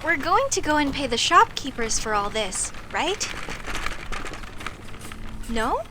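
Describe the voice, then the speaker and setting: A woman's voice: high-pitched, takes it slowly, background noise, heavily acted. A woman playing a young elvish mage in a comedic audio roleplay, outspoken, curious and easily indignant as she talks to the listener. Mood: puzzled, questioning. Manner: expressive, questioning, proudly defensive.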